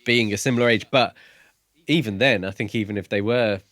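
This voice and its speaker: Low-voiced man musing, close dry sound. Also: fairly quick, a bit bright, no echo. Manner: musing, tentative. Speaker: book podcast co-host. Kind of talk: casual discussion.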